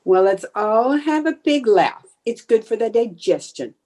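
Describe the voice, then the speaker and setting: High-pitched, proud and defensive housewife for a radio comedy, indignant and easily flustered played by a woman. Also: animated, unhurried, dark tone. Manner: indignant, flustered theatrical delivery. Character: proud, defensive housewife bluffing about her cooking. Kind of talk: old-time radio sitcom acting.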